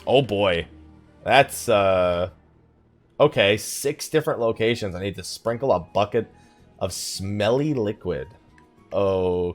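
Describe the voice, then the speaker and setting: A man's voice: fairly low pitch, lively intonation, slow pace, faint music behind. A man giving casual let's play commentary, chatting and rambling as he thinks aloud while he plays. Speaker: gaming YouTuber, let's play host.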